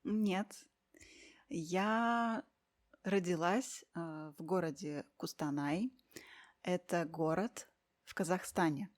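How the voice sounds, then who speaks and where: A woman from Russia speaks as a guest in a slow, clear conversation for language learners. Her voice sits a little low, and she takes her time, with very slow pacing and gentle pauses full of soft fillers. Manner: unhurried, with many thoughtful pauses and soft fillers.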